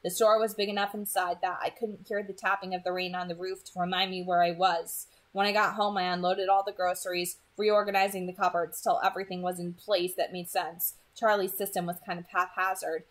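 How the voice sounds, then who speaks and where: A woman's voice: fairly low pitch, level tone, fairly quick, hardly any pauses, fairly bright tone. A woman reading a first-person story aloud as its narrator.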